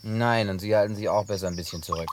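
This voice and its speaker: Casting: male actor for wary, plain-spoken country man, lowish pitch, colloquial radio play delivery, faint outdoor noise. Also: fast, lightly acted. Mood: teasing, loosening up. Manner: blunt, colloquial, teasing. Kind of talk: naturalistic German radio play acting.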